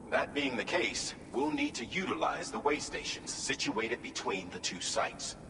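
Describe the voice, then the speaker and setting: A formal, distant network coordinator. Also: male voice, fairly low pitch. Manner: formal, technical, measured. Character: formal man coordinating a network over comms. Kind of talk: game cutscene voice acting. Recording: distant, echoey, reverberant, noisy.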